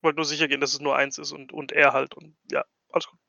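A man's voice: a little high, flat delivery, fast talker, vocal fry, pushed and bright, no echo, highs cut off. A man playing a tabletop game and chatting casually with the group.

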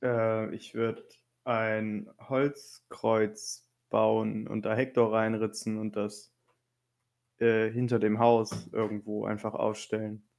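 Creaky-voiced man playing a tabletop game. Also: lowish voice, little pitch movement, slow pace, darkish tone. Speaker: tabletop role-playing player. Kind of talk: casual in-game chat.

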